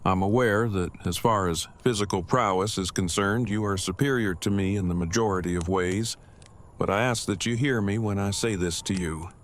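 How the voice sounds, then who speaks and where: Man acting a low-voiced, stiffly formal brainy father. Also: a little hiss or hum, close to an everyday voice. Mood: stern warning. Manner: formal, wordy, deliberate, precise. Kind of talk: audio drama acting.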